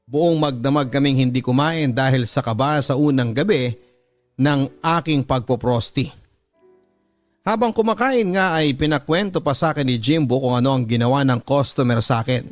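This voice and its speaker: A Filipino-accented man narrates a confessional story in the manner of a radio drama host. His mid-range voice is solemn and deliberate, carried over a bed of background music. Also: medium pitch. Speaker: radio drama host reading a listener's letter.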